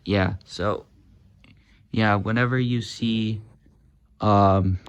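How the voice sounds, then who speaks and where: Guy slowly showing off some walkie talkies at home, lots of uhs, kinda low voice. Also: steady tone, dull audio, very noisy. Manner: halting, many uhs and ums, talks while handling gear. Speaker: hobby video host demonstrating walkie-talkies. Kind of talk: casual hands-on demo.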